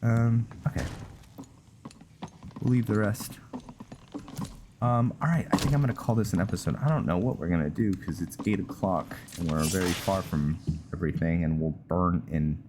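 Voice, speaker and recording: Low-voiced man narrating his gameplay. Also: background noise. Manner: hesitant, halting delivery. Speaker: gaming channel host. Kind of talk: casual gameplay roleplay commentary, reading game notes aloud.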